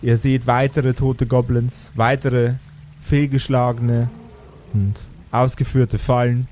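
A man narrating an improvised tabletop role-playing game as game master, descriptive and measured, pausing between phrases. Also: mid-range voice, flat delivery, a little mellow, a little hiss or hum.

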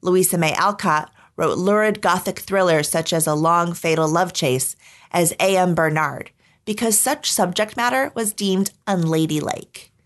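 A woman hosting a podcast, reading scripted narration in a measured, articulate storytelling manner. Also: fairly low pitch. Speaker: podcast host. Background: background music.

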